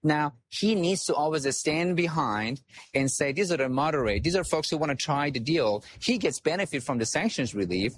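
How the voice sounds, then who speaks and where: A man's voice: fairly high pitch, animated, a little hiss or hum. A man from Iran, a guest analyst on a talk show, speaking firmly and with emphasis. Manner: firm, measured, emphatic.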